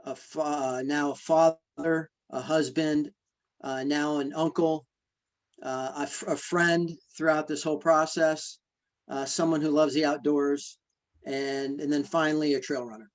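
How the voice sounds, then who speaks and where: A man answering interview questions in a halting, reflective way, with many fillers and restarts. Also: on the high side, flat delivery. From United States. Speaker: guest, ultrarunner. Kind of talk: casual interview conversation.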